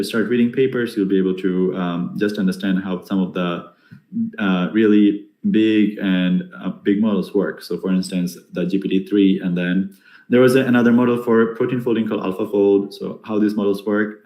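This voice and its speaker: Young bangladeshi man, lowish voice, hesitant, echoey room. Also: dark tone. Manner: hesitant, many fillers and restarts. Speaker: guest speaker. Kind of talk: online seminar Q&A. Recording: echoey room with faint noise.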